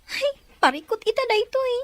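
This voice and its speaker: A woman's voice: very high-pitched, big pitch swings, normal speed. A woman playing a worried young daughter in a serial family drama. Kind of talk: Ilocano radio-style serial drama acting.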